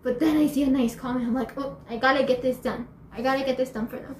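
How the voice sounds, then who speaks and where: A teenage girl chatting in a bubbly way in a casual home vlog, laughing often and filling pauses with fillers. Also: high-pitched, steady tone, slight background noise. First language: Spanish and English. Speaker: YouTuber. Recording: echoey room, faint ambience.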